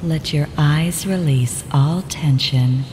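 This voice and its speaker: Very low-voiced woman guiding relaxation. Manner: calm, measured, repetitive instructions. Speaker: relaxation guide. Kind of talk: guided relaxation script. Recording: ocean surf noise with added reverb. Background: ocean waves.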